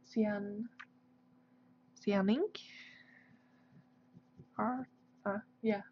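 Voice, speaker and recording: A dull, lo-fi livestream recording of a woman with a Swedish accent. She talks slowly and casually, pausing often and hunting for English words as she hosts. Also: mid-range voice, steady tone, a little hiss or hum. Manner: hesitant, halting, searching for English words. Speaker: livestream host, artist. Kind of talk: casual livestream chat while drawing.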